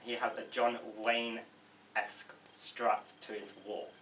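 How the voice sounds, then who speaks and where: A man's voice: mid-range voice, monotone, takes it slowly, a bit bright. A man reading stage directions as scripted narration at a table read. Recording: echoey room, distant mic, faint noise.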